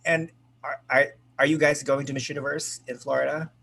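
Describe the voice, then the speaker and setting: This is a man with a husky, slightly breathy voice, hosting a casual group chat over a video call. He talks in an excitable, chatty way, full of fillers and restarts. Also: on the high side, a little fast. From United States. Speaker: host.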